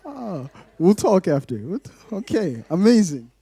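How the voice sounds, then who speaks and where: A man in his twenties from Glasgow, born in Malawi, speaking to a congregation as a guest giving testimony, in a measured, poetic way. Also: sits high, sing-song, warm tone, husky, no room sound. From Glasgow, Scotland, born in Malawi. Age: 20s. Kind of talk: rhythmic spoken-word poetry in places.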